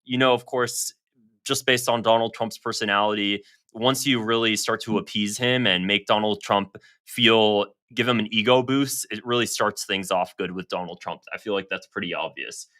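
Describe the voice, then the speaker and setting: A man's voice: on the low side, moderate pace, sharp tone. A man talking casually to his viewers as a political commentator, conversational, sometimes stumbling over and repeating his words. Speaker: online political commentator and host. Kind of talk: casual news commentary, reading quotes aloud to viewers.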